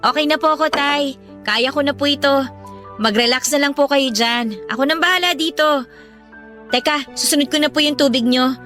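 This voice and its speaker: A woman's voice: high-pitched, moderate pace, a bit bright, faint music behind. A woman playing a dutiful, practical teenage Filipino daughter, polite and earnest, in radio drama style. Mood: eager, helpful. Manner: polite, earnest, matter-of-fact.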